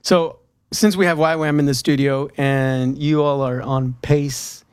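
A man's voice: fairly high pitch, steady tone, normal speed, clean tone, no echo. A man from Southern California hosting a casual radio show in a studio, playful and teasing his guests.

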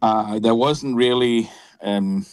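A man's voice: medium pitch, slow pace, darkish tone, dry recording. A man who teaches worship, answering interview questions hesitantly with many fillers.

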